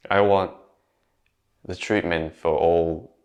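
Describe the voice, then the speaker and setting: A man's voice: low voice, fairly even intonation, slow pace, a little mellow, muffled recording. A young man with a Japanese accent, talking casually to his channel's viewers with plenty of fillers and restarts. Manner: conversational, many fillers and restarts. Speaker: YouTuber. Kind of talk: casual talk to camera.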